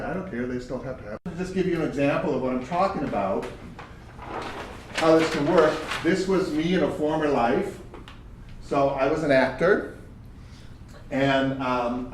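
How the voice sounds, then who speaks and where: A man with a big voice teaches a job search workshop in a plain, conversational way, filling his points with examples from his own working life. He is recorded from well off the mic, so the sound is roomy and distant. A faint steady hum sits underneath. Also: mid-range voice. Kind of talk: workshop talk to job seekers.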